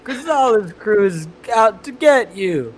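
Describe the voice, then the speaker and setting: A man voicing a brash, fired-up young male gang member who yells threats and taunts in a tabletop game. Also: high-pitched, animated, a little slow, a little hiss or hum, voice pushed up. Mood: taunting, fired up. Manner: yelling taunts.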